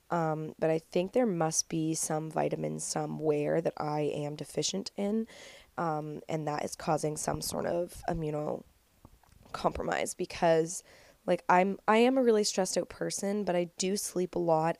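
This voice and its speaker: A woman's voice: on the low side, vocal fry, a little mellow, dry recording. A young woman in her twenties hosting a casual solo podcast, stuffed up and nasal with a cold, chatty and upbeat with lots of "like". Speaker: podcast host.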